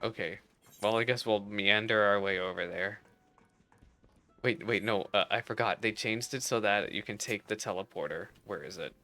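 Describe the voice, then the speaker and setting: A man's voice: lowish voice, moderate pace, pushed and bright. A man giving casual, chatty playthrough commentary with playful, slangy reactions. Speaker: game streamer. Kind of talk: casual playthrough commentary with read-aloud dialogue. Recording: game music behind the voice. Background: game music.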